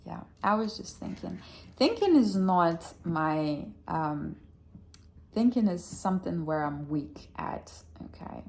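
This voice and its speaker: A woman's voice: lowish voice, very animated, slow pace, vocal fry. Blunt, swearing woman with a Russian accent. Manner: blunt, chatty, swears freely, self-mocking. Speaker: vlogger. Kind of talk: casual chat to camera.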